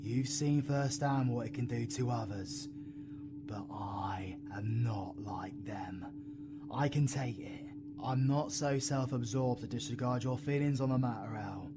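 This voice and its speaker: A man with a British accent playing a brooding, foul-mouthed dark-magic supervillain in an audio roleplay, intense and full of slang. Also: mid-range voice, a little slow, very noisy, close to an everyday voice. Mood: brooding, resolute. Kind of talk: dramatic audio roleplay acting.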